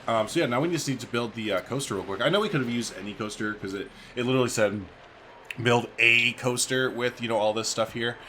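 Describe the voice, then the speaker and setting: A man's voice: mid-range voice, pushed and bright. A man hosting a gaming video, giving casual, slangy let's play commentary and thinking aloud.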